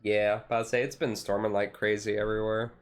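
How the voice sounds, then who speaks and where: A man's voice: lowish voice, flat delivery, a little fast. A man streaming a casual, laid-back ASMR chat in a rambling way, with music behind him.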